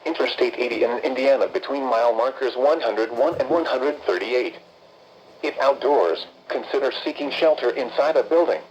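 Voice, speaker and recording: A synthetic male announcer reads formal emergency weather bulletins in an impersonal, measured way with clipped, list-like phrasing. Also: mid-range voice, darkish tone. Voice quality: synthetic text-to-speech voice. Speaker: automated weather radio announcer. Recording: dull, noisy weather radio broadcast.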